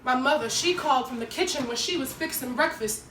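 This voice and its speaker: A woman's voice: high voice, brisk, fairly bright tone. A woman playing an earnest, nervous teenage schoolgirl who tells her story in an emotive dramatic monologue. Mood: earnest, uneasy. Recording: echoey room, distant mic, faint noise.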